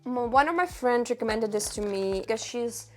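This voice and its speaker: A woman who is not a native English speaker, chatting casually to camera about books in halting, friendly phrasing with restarts. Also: fairly high pitch, animated, soft background music. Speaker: book vlogger.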